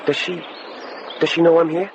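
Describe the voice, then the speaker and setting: A man's voice: a little high, level tone, highs cut off, close to an everyday voice. An actor playing an earnest, awkward young man in a radio drama, hesitant and apologetic. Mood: nervous, hopeful. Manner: hesitant, halting. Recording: loud noisy vehicle-like ambience behind.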